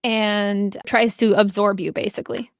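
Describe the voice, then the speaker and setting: A woman's voice: mid-range voice, fairly even intonation, normal speed, no room sound, studio quality. A woman running a tabletop game as game master, narrating informally with vivid improvised descriptions.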